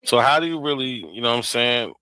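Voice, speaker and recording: A man's voice: mid-range voice, quick pace, pushed and bright, clean tone, dry recording, rough recording. A man arguing casually with slang and rhetorical questions. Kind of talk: casual commentary.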